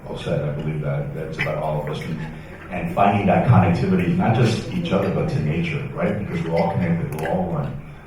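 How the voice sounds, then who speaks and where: A man's voice: very deep voice, brisk, noisy background. A man moderating a conference panel, encouraging the speakers with brief remarks. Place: conference hall. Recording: echoey hall, distant mic.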